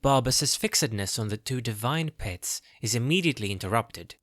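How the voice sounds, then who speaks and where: A man's voice: mid-range voice, lively intonation, moderate pace, a bit bright, no echo, clean studio sound. A man giving scripted narration as the storyteller of a fantasy audio drama.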